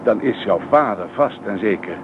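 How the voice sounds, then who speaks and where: An actor playing a courteous, middle-aged preacher in a radio play, genial, musing and enthusiastic. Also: male voice, fairly low pitch, animated, normal speed, close to an everyday voice. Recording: noisy narrowband old radio play, car sounds.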